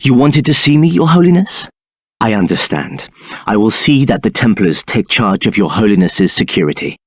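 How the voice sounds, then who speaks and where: A man's voice: fairly low pitch, sing-song, normal speed, no echo, studio quality. An actor playing a scheming, arrogant male templar captain in a video game, speaking in a haughty, condescending manner. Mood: smooth, deferential.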